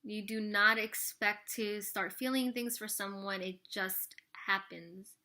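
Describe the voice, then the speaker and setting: Woman, 30s, vlogger, bright chatty voice, rambling, casual Q&A to camera. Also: medium pitch, steady tone, slow. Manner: rambling, full of "like" and "you know". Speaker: vlogger answering viewer questions.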